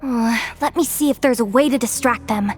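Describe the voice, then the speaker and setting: Woman voicing a brisk, resourceful infiltrator. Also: on the high side, over music, natural voice. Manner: brisk, takes charge, murmurs asides to herself. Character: quick-witted young woman infiltrator, resourceful. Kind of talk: video game cutscene dub.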